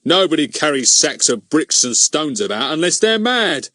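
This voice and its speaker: Guy doing a big blustery village copper, loud and bossy, voice jumps way up when he's mad. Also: mid-range voice, lively intonation, normal speed, no echo, clean studio sound, pitched above the performer's own voice, close to an everyday voice. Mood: bullying. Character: blustering pompous male village policeman, middle-aged, big and heavy.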